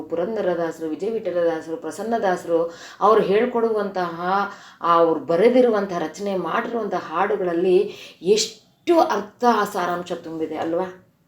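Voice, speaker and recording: Woman giving a devotional talk, echoey room. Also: on the low side. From Karnataka, India. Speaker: devotional speaker. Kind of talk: informal spiritual discourse explaining a Sanskrit verse.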